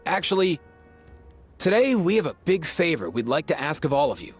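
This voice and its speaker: A man voicing an earnest, energetic young ringleader in an anime-style video game, casual and expressive. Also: a little high, normal speed, husky, soft background music. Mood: serious.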